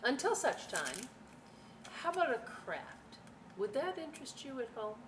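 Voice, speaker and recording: Slow-talking woman in an echoey room. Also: sits high, big pitch swings. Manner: folksy, playful, chatty asides to young viewers. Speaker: library story time host. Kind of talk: children's read-aloud and craft demonstration. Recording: echoey room, some background noise.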